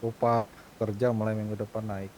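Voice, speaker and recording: A man's voice: lowish voice, little pitch movement, moderate pace, over a noisy crowd. A man talking casually in a group discussion during an online roleplay game. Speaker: roleplay gang member.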